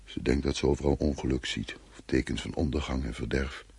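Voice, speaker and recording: Radio drama: brooding husband telling a dream, bottom-register voice, husky and worn. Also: male voice, extremely low pitch, fairly even intonation, fairly quick, darkish tone, exaggerated voice. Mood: wistful. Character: brooding husband recounting a dream of his fearful wife.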